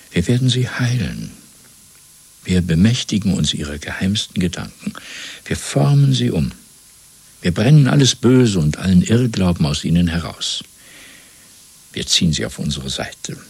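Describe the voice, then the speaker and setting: Husky, deep, fanatical Party interrogator. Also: male voice, heavily acted. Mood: menacing zeal. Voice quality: husky, gravelly, often dropping to a whisper. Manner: deliberate, patronising, swinging between soft and commanding. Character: cold, fanatical male Party interrogator and torturer. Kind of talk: 1970s German radio play acting.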